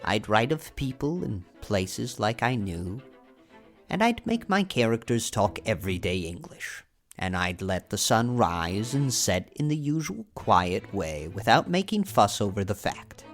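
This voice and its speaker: A plainspoken older countryman, performed by a man, hands out frank advice without hurrying. His voice roams widely up and down while he weighs each thought. There's a quiet trace of music underneath. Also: fairly low pitch, very animated, full character voice. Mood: reflective. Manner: blunt, unhurried, reflective. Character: blunt older male farmer neighbor, plainspoken. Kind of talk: audiobook drama reading.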